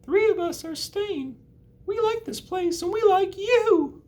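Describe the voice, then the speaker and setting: Tiny baby spider, extremely high squeaky falsetto, drawn-out, dainty, friendly, storybook creature. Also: male voice, a little mellow. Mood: cheerful, friendly.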